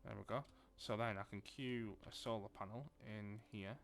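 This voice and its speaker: A man's voice: fairly low pitch, fairly even intonation, moderate pace, vocal fry, slight background noise. A man with a Lancashire accent giving casual commentary as he plays a building game, thinking aloud and muttering to himself. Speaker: gaming video creator.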